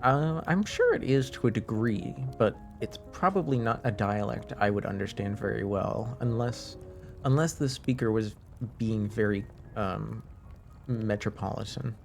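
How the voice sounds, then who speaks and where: A native French-speaking man hosting a relaxed livestream. His voice has a creaky, gravelly edge and sounds a little under the weather. He talks slowly and haltingly, trailing off often, with faint music playing behind him. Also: on the low side. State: slightly under the weather, deeper than usual, clearing throat. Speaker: live streamer, host. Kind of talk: casual livestream chat with viewers.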